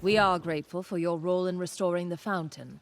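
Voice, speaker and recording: A woman voicing a proud, wary, ancient nature spirit in a fantasy game, speaking in a solemn, deliberate, haughty manner. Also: fairly low pitch, fairly even intonation, a little hiss or hum, natural voice. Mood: solemn, guarded. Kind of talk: dramatic fantasy game dialogue.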